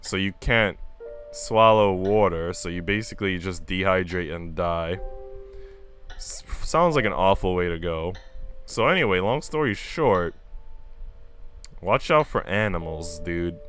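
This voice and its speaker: A man hosts a casual reading stream with a bright, forceful voice. His pitch moves around a lot as he shifts between slangy chat and story narration. Soft music plays faintly behind him. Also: measured pace, long pauses. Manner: slangy, animated storytelling in asides. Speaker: streamer reading a visual novel aloud to viewers. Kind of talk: casual livestream chat mixed with read-aloud narration.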